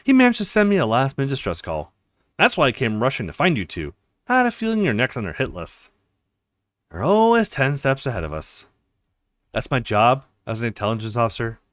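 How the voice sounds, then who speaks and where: A smug, manipulative woman intelligence officer from a visual novel, read by a man. She talks in a teasing, self-assured way with very lively pitch movement. The recording is close and dry. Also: fairly quick, no echo.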